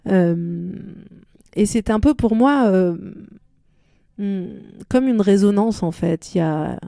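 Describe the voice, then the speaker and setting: Woman singer, arabic her first language, thinks out loud w lots of restarts, warm dull tone, dry sound. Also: mid-range voice, animated, slow, vocal fry, no room sound. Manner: thoughtful, searching for words with many restarts. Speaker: singer-songwriter guest. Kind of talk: relaxed interview chat.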